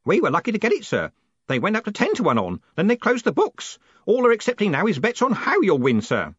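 Very high, cackling old man. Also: huge pitch swings, a little fast, husky, no room sound, highs cut off, full character voice. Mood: eager. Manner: deferential, wheedling, often adds "sir". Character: cackling old man, sly, eager, stubbornly loyal underling. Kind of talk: audiobook character voice.

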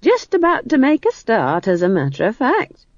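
A woman's voice: fairly high pitch, very animated, unhurried, a little mellow, no room sound, highs cut off, voice pushed up, heavily acted. A woman voicing a cheerful, bossy old witch in a storybook, blunt, folksy and chatty. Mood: brisk, cheerful. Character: brash, bossy, cheerful old witch posing as humble wood-gatherer.

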